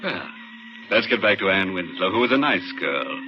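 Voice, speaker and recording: Deep-voiced, sardonic horror host. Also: male voice, big pitch swings, normal speed, noisy background. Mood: sardonic, teasing. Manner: sardonic, ghoulishly playful. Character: macabre, mocking male horror host teasing listeners. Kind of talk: 1940s radio horror narration. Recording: muffled old radio broadcast, organ-era music.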